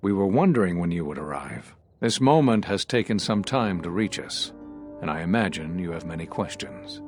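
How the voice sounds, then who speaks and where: Deep, husky Jedi master. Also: male voice, expressive, faint music behind. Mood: solemn, earnest. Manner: measured, explanatory. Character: earnest, solemn male Jedi master. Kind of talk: video game dramatic acting.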